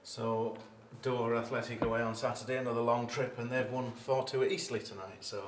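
A man's voice: medium pitch, little pitch movement, brisk. A male interviewer asking a football manager brief, conversational questions after a match. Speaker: club media interviewer. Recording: echoey room with faint hiss.

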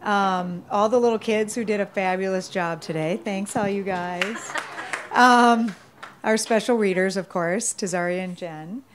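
A bride in her sixties holds a handheld mic and thanks her wedding guests without notes. She pauses often with ums. Faint noise sits behind her. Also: medium pitch. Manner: off the cuff, many ums. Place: candlelit tent at a dinner party.

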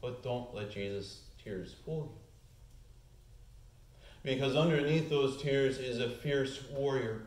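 A man's voice: medium pitch, slow, pauses often, a little mellow. A man in his forties preaching a sermon as pastor, earnest, lifting his voice on the key points, filmed from a distance at the lectern. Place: church sanctuary, at the lectern. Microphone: far camera. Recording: echoey church room.

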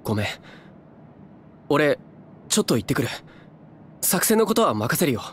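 A man voicing an earnest, intense young hero in a Japanese video game, speaking plainly and deliberately. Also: fairly high pitch, sing-song, slight background noise. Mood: puzzled.

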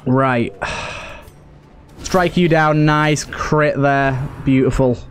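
A man's voice: mid-range voice, fairly even intonation, extremely slow. A man giving casual let's play commentary, muttering to himself as he thinks through his moves. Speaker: gaming video host. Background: game music.

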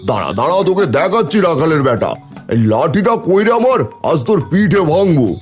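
A man's voice: a little high, sing-song, a little fast, faint music behind. A man voicing a blustering old village landlord in a cartoon, exclaiming melodramatically. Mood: angry, blustering. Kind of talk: cartoon voice acting.

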